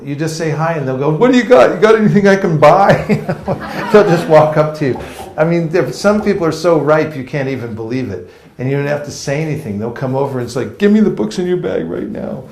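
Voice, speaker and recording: A man's voice: fairly high pitch, expressive, brisk, hardly any pauses, darkish tone. A man from California teaching a book distribution seminar to a live audience, joking and acting out street encounters. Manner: playful, jokey, acts out street encounters. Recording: echoey room.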